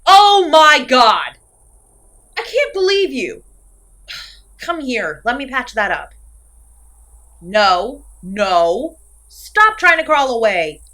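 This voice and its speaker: Female slasher villain played by an actress, horror-comedy audio drama: high-pitched, very animated, sarcastic and scolding, big theatrical delivery. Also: slow, pauses often, heavily acted. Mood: grudging care. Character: theatrical, exasperated, easily ranting masked slasher killer woman.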